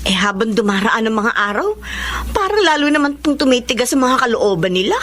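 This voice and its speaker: Earnest, higher-voiced Filipina peacemaker. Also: female voice, lively intonation, fairly quick, fairly bright tone, a little hiss or hum. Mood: worried. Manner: earnest, sympathetic. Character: concerned, pleading peacemaking young woman. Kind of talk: Filipino radio drama acting.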